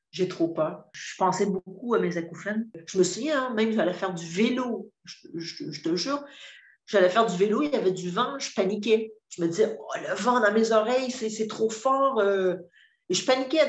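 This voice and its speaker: A woman's voice: mid-range voice, moderate pace. A woman in her fifties with a Québécois accent, giving a candid, earnest testimony with many hesitations and restarts. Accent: Québécois French. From Quebec. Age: 50s. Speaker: program client giving a testimonial. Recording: roomy echo, dull lo-fi sound.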